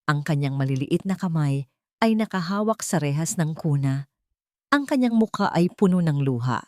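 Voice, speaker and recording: A woman narrates a romantic drama with measured, emotive delivery. Her voice sits low. The recording is dry and close, with no room sound. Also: expressive, a little mellow, no echo.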